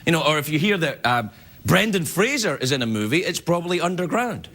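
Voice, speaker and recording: A man's voice: on the high side, very animated, brisk. A middle-aged Scottish man hosting a late-night show, delivering a wry, self-mocking comedy monologue to a studio audience. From Scotland. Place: television studio with live audience. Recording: loud audience noise behind.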